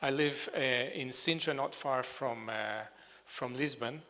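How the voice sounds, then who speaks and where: A man's voice: medium pitch, slow pace, pushed and bright. A man from Scotland giving a conference presentation in a lecture hall, speaking hesitantly and searching for words. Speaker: bird sound recordist giving a talk. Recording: reverberant hall.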